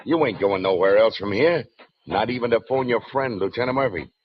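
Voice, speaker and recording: A man's voice: lowish voice, animated, a little fast, natural voice. A man as a sneering gangster thug. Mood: menacing. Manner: slangy, sneering tough-guy talk. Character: menacing male gangster henchman, smooth-talking. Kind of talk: 1940s radio acting. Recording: muffled 1940s radio broadcast.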